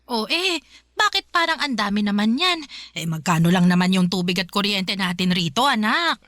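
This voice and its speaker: A woman's voice: medium pitch, big pitch swings, moderate pace, a bit bright, no room sound, studio quality. Doting, fussing Filipino mother. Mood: surprised. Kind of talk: radio drama acting.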